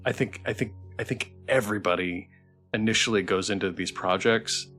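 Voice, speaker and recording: A man's voice: on the low side, normal speed, fairly bright tone. A man hosting a casual livestream chat, encouraging and appreciative as he talks and reads out messages. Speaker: stream host. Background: background music.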